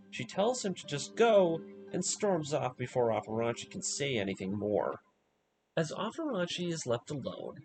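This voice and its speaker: A man giving scripted narration in the manner of a video essay, telling a story dramatically with emphatic pauses. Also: on the high side, rough recording.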